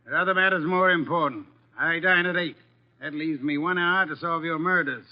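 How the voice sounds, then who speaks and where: An actor playing an imperious, pompous heavyset detective in a 1950s American radio mystery, with formal and precise wording. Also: male voice, fairly high pitch, brisk, close to an everyday voice. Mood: imperious, unhurried. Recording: dull, band-limited old radio recording.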